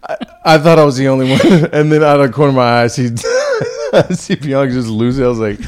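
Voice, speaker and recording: A man's voice: medium pitch, huge pitch swings, fairly quick. A man co-hosting a podcast in casual chat, laughing often and talking loosely with swearing. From Texas. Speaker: podcast co-host.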